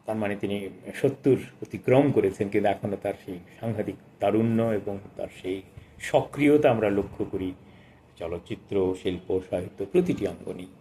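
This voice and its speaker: A man in his sixties presenting a book of poetry, speaking and reciting in a measured, expressive way with formal literary diction. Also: medium pitch, slight background noise. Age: 60s.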